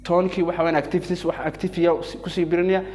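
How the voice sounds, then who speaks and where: A man's voice: high-pitched, flat delivery, quick pace, darkish tone, faint music behind. A young man born in Yemen with Arabic as his first language gives a pharmacy class presentation, hesitant, with many restarts and mixed-language phrasing. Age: young adult. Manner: hesitant, many restarts and fillers, switches between two languages. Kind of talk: halting bilingual presentation.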